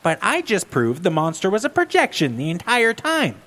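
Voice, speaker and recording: A man's voice: sits high, huge pitch swings, moderate pace, sharp tone, voice pushed up, full character voice. A man voicing a smug, bookish young woman sleuth in a horror parody story. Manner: smug, know-it-all.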